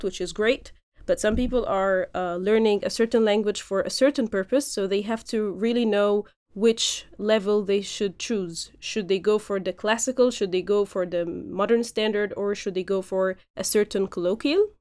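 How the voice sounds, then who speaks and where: A woman's voice: mid-range voice, darkish tone, clear, resonant tone. A woman hosting a podcast, explaining language points in an instructive way with frequent fillers. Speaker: podcast host and Arabic teacher. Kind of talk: lecture-style podcast recap.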